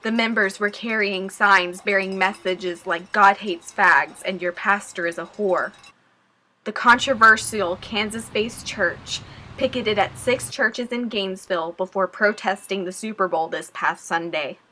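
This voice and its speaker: A young woman reporting the news, with stand-ups on location and voice-over narration in a clear, measured delivery. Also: medium pitch, bright, forceful tone, a little hiss or hum, low-quality recording.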